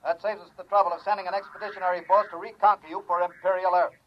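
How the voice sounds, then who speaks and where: An actor playing a cold, commanding colonial inspector speaking over a radio link in an old-time radio drama. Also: male voice, high-pitched, little pitch movement, rapid-fire. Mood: domineering. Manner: stern, clipped, commanding, official. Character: cold, authoritarian male imperial colonial inspector. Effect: radio transmission. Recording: muffled, noisy old radio recording.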